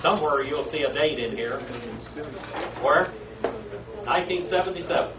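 A man's voice: a little high, moderate pace. Older man teaching Bible class, roomy. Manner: drills class in word-by-word call and response, often asks "all right?". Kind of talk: classroom Bible lesson with Greek drills. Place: wood-panelled classroom with podium. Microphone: podium mic from far camera. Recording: roomy, distant, dull, noisy classroom video.

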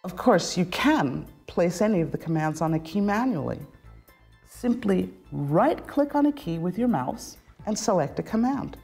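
Lively woman presenting a scripted tutorial. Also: on the low side, moderate pace, creaky. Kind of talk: scripted instructional narration. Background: background music.

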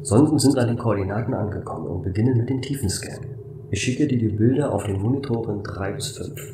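A man voicing a calm, matter-of-fact station computer in a flat, formal way for a sci-fi audio drama. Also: lowish voice, brisk, echoey room, far from the mic, noisy background, lightly acted. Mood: factual.

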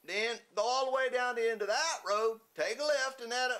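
A man's voice: extremely high pitch, brisk, pushed and bright, full character voice. A man playing a friendly, rambling rural local with a Southern US accent and a folksy, repetitive way of talking in a drama. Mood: helpful, folksy. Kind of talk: acted drama dialogue.

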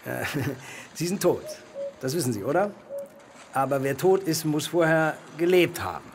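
An actor in a radio play playing an impatient, flippant heavenly clerk, sarcastic and colloquial. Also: male voice, a little high, lively intonation, moderate pace, a little hiss or hum.

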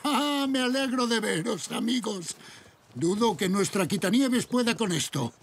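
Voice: mid-range voice, expressive, unhurried, slight background noise. A voice actor playing a kindly, patient grandfather zebra in a dubbed cartoon. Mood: worried.

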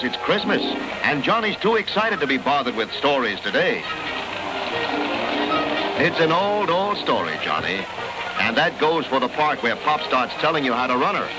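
A man's voice: on the high side, very animated, moderate pace, rough recording. A man narrating an old promotional film in a folksy, avuncular, theatrical storytelling way, as if to a child. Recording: old film soundtrack with loud music. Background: loud music.

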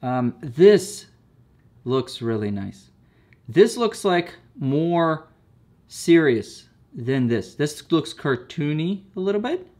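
A man casually reviewing a chess set at a table indoors, talking slowly with many fillers and repeated words. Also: a little high, big pitch swings, darkish tone.

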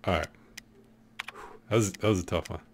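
A man in his thirties with a deep, low voice, chatting casually as he streams from his desk at home. He jokes and thinks out loud at an easy pace. Faint keyboard and room noise sit behind him. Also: moderate pace. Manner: laid-back, joking, thinking aloud. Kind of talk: casual gameplay commentary.

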